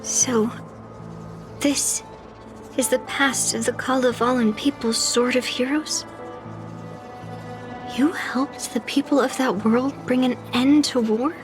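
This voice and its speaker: Game role: earnest young swordswoman, high voice, slow thoughtful delivery, music behind. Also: fairly even intonation. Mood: questioning. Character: young female sword-hunter, earnest, reflective. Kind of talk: dramatic game dubbing. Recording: music under the voice.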